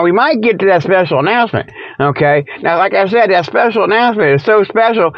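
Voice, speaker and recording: A man's voice: high voice, no room sound, dull audio. A man hosting a comic radio report, rambling off the cuff in a folksy, colloquial, repetitive way and amused by his own jokes. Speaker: host of a comic Bigfoot report show. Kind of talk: rambling improvised comic monologue.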